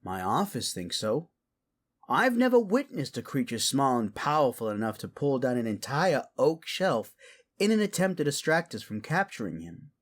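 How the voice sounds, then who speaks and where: An actor playing an earnest officer recounting events in an audio drama. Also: male voice, mid-range voice, sing-song, normal speed.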